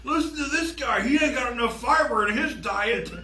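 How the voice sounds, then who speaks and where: A man's voice: very high-pitched, normal speed. A man co-hosting a podcast in casual banter, teasing and laughing often. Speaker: podcast co-host. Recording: echoey room with faint noise.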